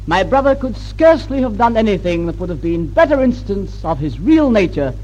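An actor playing a sly, sardonic prince who taunts in a theatrical way in an old-time radio historical drama. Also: male voice, high-pitched, sing-song, fairly quick, a little mellow, slight background noise, rough recording. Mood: bitterly ironic. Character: sly, mocking prince, younger brother of the king. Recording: vintage 1940s-50s radio recording.

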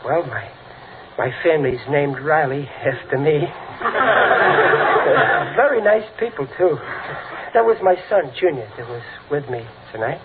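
A middle-aged American father from a golden-age radio comedy, bumbling and kind-hearted. His voice sits on the high side and stumbles through folksy, ungrammatical sentences. The recording is thin and tinny with heavy noise. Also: expressive, a little slow, long pauses. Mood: gentle, chatty. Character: bumbling, good-hearted working-class father, easily spooked. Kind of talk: 1940s-50s radio comedy acting.

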